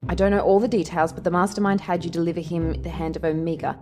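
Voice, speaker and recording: A plucky female alien adventurer, voiced by a woman in an audio drama. She is brisk, wry and earnest, with a slightly low voice. Music plays behind her. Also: steady tone, close to an everyday voice. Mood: reassuring.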